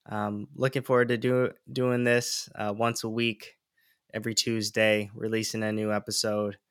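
Solo podcast host, young man, lowish monotone, easygoing and rambly, dry sound. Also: normal speed, smooth, no room sound. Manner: relaxed, rambling, many fillers. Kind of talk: casual solo podcast monologue.

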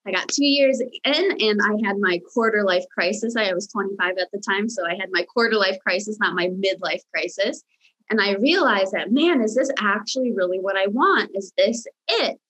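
A young American woman, Romanian as first language, telling her story as a podcast guest with warm enthusiasm. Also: mid-range voice, lively intonation, moderate pace, low-quality recording. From United States. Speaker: podcast guest, mindset coach. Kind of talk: casual interview chat. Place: home in Los Angeles, remote call.

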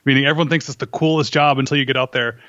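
A man speaking as an interview guest, laying out arguments in a measured, conversational way and checking his points with rhetorical questions. The recording is close and dry, but slightly dull and lo-fi. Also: medium pitch, steady tone, sharp tone, dry recording. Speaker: interview guest, firearms instructor and writer. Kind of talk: conversational interview, explaining opinions.

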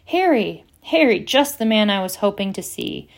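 A woman voicing a stout, genial old professor who booms jovially, in an audiobook. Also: lively intonation, moderate pace, a little mellow, close to an everyday voice. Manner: booming, jovial. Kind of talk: audiobook character reading.

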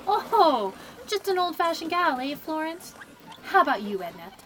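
A woman's voice: high-pitched, big pitch swings, normal speed, fairly bright tone. A woman playing a brash, teasing young woman with slangy wisecracks in an old-time radio drama. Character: brash, wisecracking young woman who loves hot songs.

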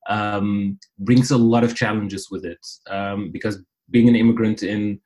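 A man in his thirties who grew up in the Netherlands, speaking as a podcast guest over a remote call, with many "uh" fillers and a steady, thoughtful manner. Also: lowish voice, a little slow. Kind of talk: casual interview chat.

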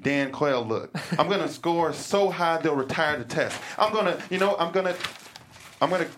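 A man's voice: on the high side, expressive, normal speed, fairly bright tone, slight background noise. A man playing a flustered nerdy teenage boy. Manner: rambling, flustered. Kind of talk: table read.

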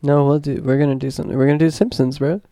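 A man's voice: on the high side, flat delivery, very fast, mellow, dry recording, clean studio sound. A young man co-hosting a podcast, slangy and rambling, whose voice sounds congested. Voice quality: congested, nasal. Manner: slangy and rambling, heavy on filler words. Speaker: podcast co-host. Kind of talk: casual podcast banter.

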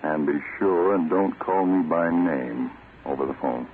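A man playing a terse, authoritative counter-spy chief in a 1940s radio drama. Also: rumbling low. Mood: grave, businesslike. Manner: terse, authoritative, deliberate instructions. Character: commanding male American counter-spy chief, brisk and secretive. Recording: tinny, noisy vintage radio recording.

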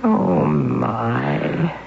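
Supporting part: fretful kindly aunt, animated, tinny old radio sound. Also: female voice, deep voice, extremely slow, gravelly, exaggerated voice. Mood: worried. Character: kindly older aunt, fussy and protective. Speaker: supporting character. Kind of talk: 1970s radio drama acting. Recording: tinny radio broadcast with faint hiss.

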